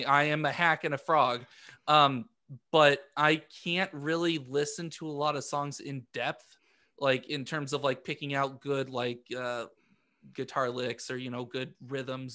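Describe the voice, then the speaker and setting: A man chatting casually as a podcast co-host, halting and repeating words as he works out his thoughts, often self-deprecating. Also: mid-range voice, fairly even intonation, pushed and bright. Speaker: podcast co-host and amateur musician. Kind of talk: casual group chat.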